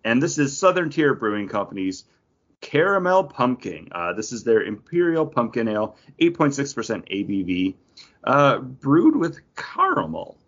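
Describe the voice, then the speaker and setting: A man's voice: mid-range voice, very animated, moderate pace, fairly bright tone, highs cut off. A man chatting casually and jokingly as a podcast co-host, talking enthusiastically through what he is tasting. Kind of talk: casual chat, informal beer tasting.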